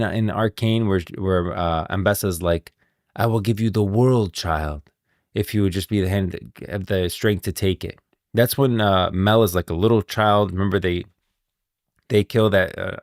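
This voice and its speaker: A man's voice: lowish voice, normal speed, no room sound. A man giving casual commentary on video game lore as a streamer, recorded close and dry.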